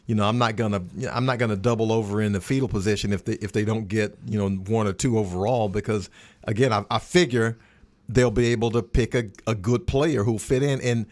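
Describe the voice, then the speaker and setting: A man's voice: on the low side, fairly even intonation, fairly quick, a little mellow. A man giving conversational sports analysis on a radio roundtable in a plain-spoken way, often restating a phrase for emphasis.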